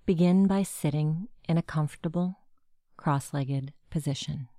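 Woman, meditation guide, mellow tone, very unhurried, gentle instructional delivery, dry recording. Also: low voice, no echo.

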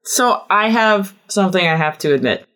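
A woman's voice: mid-range voice, animated, moderate pace, a bit bright. A woman guesting on a podcast, chatting casually in a wry, self-deprecating way. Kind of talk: casual chat.

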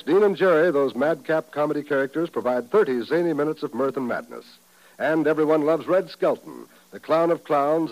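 An American man with a fairly high voice works as a 1950s radio announcer. He reads scripted copy in a polished, upbeat sales style on a vintage broadcast recording. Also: a little mellow, low-quality recording.

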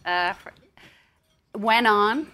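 This is an American woman conducting a live interview in front of an audience. She talks in a quick, chatty way. Her voice has a bright, sharp edge at a middle pitch. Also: smooth. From United States.

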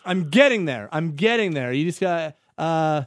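A man's voice: on the high side, animated, normal speed, no room sound. A male radio host chatting easily, with hesitant starts and restarts. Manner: easygoing, hesitant, stumbling restarts. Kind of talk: casual radio chat.

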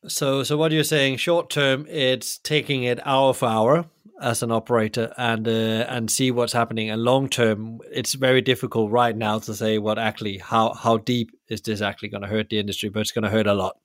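A man's voice: medium pitch, flat delivery, normal speed, dry recording, studio quality. A man from Denmark hosting an interview, talking in a hesitant way with many fillers. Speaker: interview host. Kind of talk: conversational interview.

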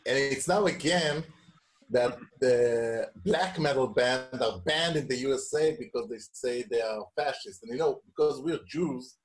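A man in his forties from Israel, a band member, talking in a casual interview, halting and repeating his words. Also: mid-range voice. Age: 40s.

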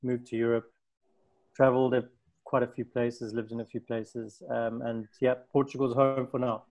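A man from South Africa talking casually on a video call, halting and tired, with many ums. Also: medium pitch, flat delivery, a little mellow. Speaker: designer in a video call group. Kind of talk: casual chat.

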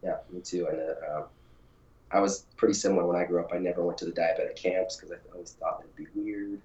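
Male guest with a cold, very low, raspy and froggy, relaxed; echoey room. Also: fast talker. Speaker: podcast guest, company founder. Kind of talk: casual interview chat. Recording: echoey, dull audio.

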